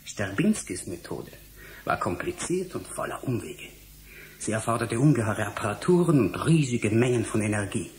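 An actor playing a young, irritable, self-absorbed scientist in a German radio drama, impatient and lecturing. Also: male voice, fairly low pitch, very animated, normal speed, a little mellow, a little hiss or hum. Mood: excited lecturing.

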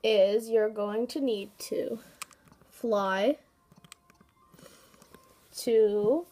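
A woman's voice: medium pitch, very slow, warm tone, faint music behind. A woman with a cold, sniffling a little, casually talking viewers through a handheld game and rambling with fillers and asides. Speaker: gaming YouTuber. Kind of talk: casual game walkthrough commentary.

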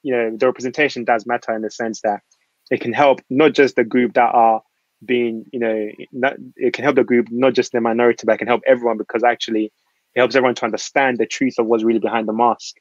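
A man who grew up in Ghana speaks at a rapid clip on a panel discussion, filling his points with earnest, rambling asides. He talks through a laptop webcam, and the sound is dry and muffled. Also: medium pitch, fairly even intonation, no room sound. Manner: earnest, rambling, many filler phrases. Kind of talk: panel discussion on a video call. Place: small room at home. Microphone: laptop webcam on a video call. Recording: muffled video-call audio.

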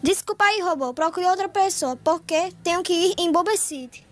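A performer voicing a small, eager, childlike cartoon adventurer with a squeaky Brazilian Portuguese delivery. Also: moderate pace. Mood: apologetic. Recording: roomy echo, music behind.

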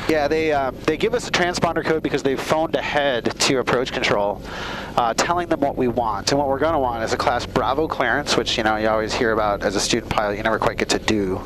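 A man's voice: medium pitch, quick pace. A man working as a flight instructor, explaining things casually over a headset mic in a small airplane. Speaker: flight instructor, pilot in command. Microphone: aviation headset boom mic. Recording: aircraft headset intercom with engine noise.

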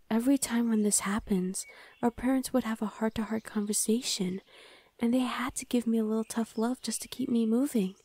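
A young woman's voice, close and soft, as a woman plays a caring older sister who reassures in a hushed half-whisper. The tone is mellow and warm, and it sits a little high. The sound is dry, with no room around it. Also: no echo. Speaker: voice actor. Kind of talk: ASMR roleplay.

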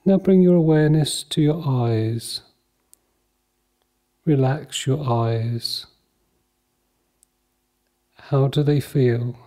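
A man leading a guided mindfulness meditation in a calm, measured, soothing manner, leaving long pauses between short phrases. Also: medium pitch.

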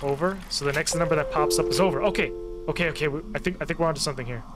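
High-voiced man playing a horror game. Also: racing. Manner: talks to himself, repeats phrases, excitable when startled. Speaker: host, gamer. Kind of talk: casual let's-play commentary of a horror game. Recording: loud game soundtrack under the voice. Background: loud horror game music and sound.

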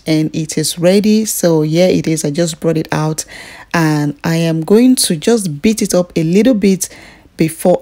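Low-pitched Nigerian woman, cooking vlogger. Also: warm tone. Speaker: food vlogger. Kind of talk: casual cooking tutorial narration. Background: background music.

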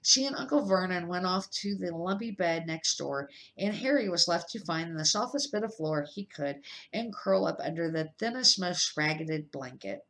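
A middle-aged woman reading a children's novel aloud as an amateur, slightly halting and correcting her words as she goes. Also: on the low side, expressive, a bit bright, rough recording. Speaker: amateur storybook reader.

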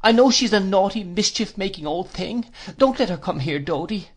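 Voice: medium pitch, expressive, moderate pace, voice pushed up, close to an everyday voice. A narrator voicing a childish, timid young sweetheart woman who coaxes, pleads and pouts. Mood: pouting, petulant. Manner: coaxing, petulant, pleading.

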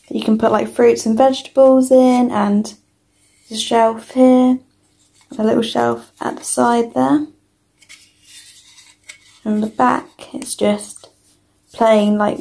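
A young woman with a British accent giving a casual, informal toy review as an unhurried walkthrough. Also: fairly high pitch, steady tone, vocal fry, mellow.

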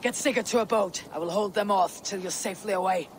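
A woman's voice: medium pitch, quick pace, slight background noise, close to an everyday voice. An actress playing a fierce, loyal Viking warrior woman in a video game, blunt and commanding. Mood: resolute. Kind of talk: dramatic video game acting.

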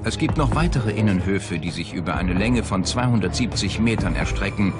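A man's voice: on the low side, lively intonation, a little fast. A man reading a scripted documentary narration. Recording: music bed under the voice. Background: loud background music.

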